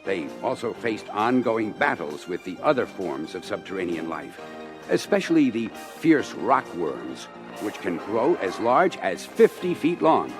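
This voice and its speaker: An actor playing a grave, dignified space-colony minister giving a formal, measured speech on an audio adventure record. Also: male voice, lowish voice, very animated. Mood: grave, lecturing. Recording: vintage LP with music behind.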